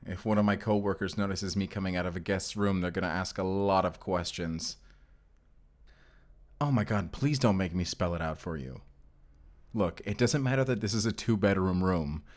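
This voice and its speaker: A man's voice: low-pitched, moderate pace, darkish tone, natural voice. A man playing a guarded, protective vampire slayer in an audio roleplay, speaking frankly and sternly. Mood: exasperated. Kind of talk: cinematic audio roleplay addressed to the listener.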